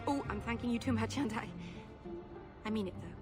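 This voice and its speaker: An actress playing a naive, earnest young elf woman in a video game, speaking gently and hesitantly. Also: a little high, quick pace, natural voice. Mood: grateful, flustered. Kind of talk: video game cutscene acting. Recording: music behind the voice.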